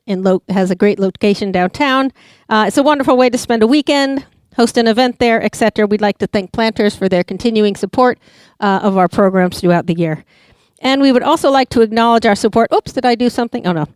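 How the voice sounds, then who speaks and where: A woman hosts an evening talk, working through announcements with frequent pauses and fillers. Her voice is clear and crisp, somewhat high, and recorded dry with little room sound. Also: darkish tone, no room sound. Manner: hesitant, with many uhs. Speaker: event host. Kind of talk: announcements and speaker introduction.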